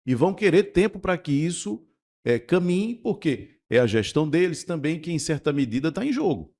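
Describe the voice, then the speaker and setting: A man's voice: fairly high pitch, sing-song, normal speed, a little mellow. A man, co-hosting a radio talk show, who recaps recent events and sets up questions for the guest. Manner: framing questions, recapping news. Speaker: radio co-host.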